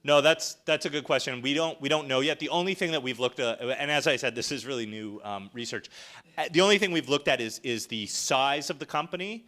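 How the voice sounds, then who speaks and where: Bright-voiced man fielding questions. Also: mid-range voice, fairly quick. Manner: hesitant, thinks aloud with many fillers and restarts. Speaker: panel presenter, researcher. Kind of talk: answering audience questions at a panel.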